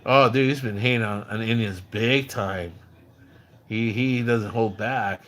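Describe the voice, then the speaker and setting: A man's voice: on the low side, fairly even intonation, a little slow, fairly bright tone. A man livestreaming in a casual, slangy way, rambling conversationally as he tells stories about people. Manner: acts out quoted dialogue when recounting. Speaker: live streamer. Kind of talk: casual livestream chat about another streamer.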